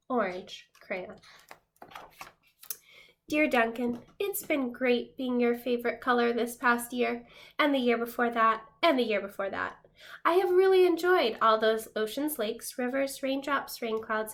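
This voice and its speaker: A woman reading a children's storybook aloud in an expressive, acted style. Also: fairly high pitch. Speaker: storybook reader. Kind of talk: animated children's read-aloud.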